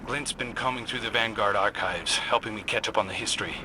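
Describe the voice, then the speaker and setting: A man's voice: lowish voice, fairly quick, background noise, lightly acted. A man playing an easygoing young scout in a video game, with dry, friendly, conversational banter. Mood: wry, friendly. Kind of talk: video game radio chatter.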